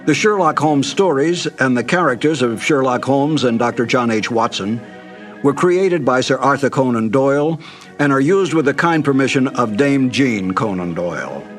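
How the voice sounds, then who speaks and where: A man's voice: mid-range voice, animated, normal speed, faint music behind. An older American man reading a scripted announcement as a programme presenter. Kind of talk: scripted narration.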